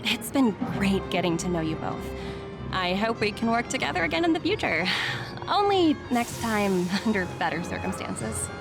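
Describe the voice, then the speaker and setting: A woman's voice: medium pitch, sing-song, normal speed, gravelly. An actress voicing a confident, gracious young woman executive in a video game, polite and breezy. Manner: breezy, polite, self-assured. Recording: game music behind the voice.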